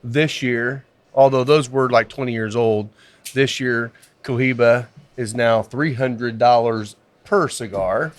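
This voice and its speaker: A man's voice: mid-range voice, steady tone, takes it slowly, no room sound. A man co-hosting a casual podcast from a cigar lounge, laid-back and joking. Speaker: podcast co-host. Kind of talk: casual chat.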